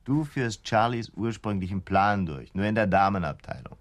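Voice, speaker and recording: A man's voice: fairly low pitch, animated, moderate pace, close to an everyday voice. A man acting a cold, scheming leader of militant plotters in a German radio drama, commanding and sardonic.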